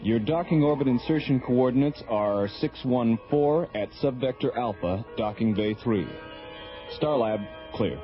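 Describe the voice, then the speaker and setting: Efficient clipped space controller, radio transmission sound, music underneath. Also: male voice, medium pitch, measured pace. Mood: businesslike. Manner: clipped, procedural. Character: brisk, efficient male space station flight controller. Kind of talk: radio drama acting. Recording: dull lo-fi radio recording.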